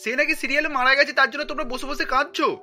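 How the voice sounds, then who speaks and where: A woman's voice: high voice, fairly even intonation, quick pace, pushed and bright, soft background music. A woman voicing an exasperated, scolding grown son in a cartoon.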